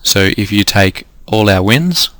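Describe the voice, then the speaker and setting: A man's voice: fairly low pitch, animated, unhurried, fairly bright tone, no room sound. A man with an Australian accent teaching a trading lesson, walking through numbers step by step and losing his voice. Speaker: trading coach. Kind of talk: screen-recorded lesson.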